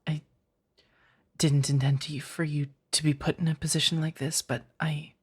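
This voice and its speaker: A woman playing a remorseful, tender vampire in an ASMR roleplay, speaking softly and haltingly, often slipping into a whisper. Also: low-pitched, flat delivery, breathy edge. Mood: hesitant, apologetic. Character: remorseful, tender female vampire rescuing her beloved.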